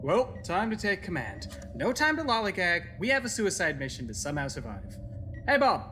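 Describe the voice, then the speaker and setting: A brisk, bossy officer takes charge in a sci-fi radio play, acted by a woman. The delivery leaps dramatically in pitch. There is clear noise behind the voice. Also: sing-song, fairly bright tone. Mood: urgent, bossy. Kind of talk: audio drama acting. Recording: clearly noisy background.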